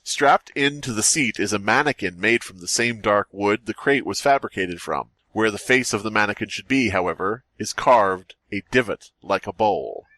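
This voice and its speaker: Animated man riffing on a podcast. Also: lowish voice, normal speed, few pauses, no echo, poor audio. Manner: jokey, profane, riffing. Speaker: podcast host. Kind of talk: comedic group chat and reading horror stories aloud.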